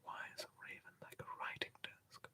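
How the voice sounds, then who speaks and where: A storyteller voicing a polite, easily distracted male spirit cat that dithers over riddles and milk, all in a hushed whisper. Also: brisk, lightly acted. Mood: pondering. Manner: soft whispered, ponderous, dithering.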